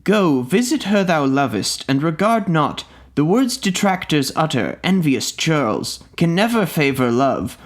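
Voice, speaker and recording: A monstrous humpbacked ifrit with horns, bowing to his mistress and then solemnly reciting verse, his voice lifted a little higher than a plain reading voice, as a man puts him on. He is servile and grave. He speaks like a spirit from a storybook. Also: big pitch swings, a little slow, hardly any pauses. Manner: solemn and deferential, declaiming verse. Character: hideous humpbacked horned male ifrit, servile judge. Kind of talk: storybook reading of an old tale.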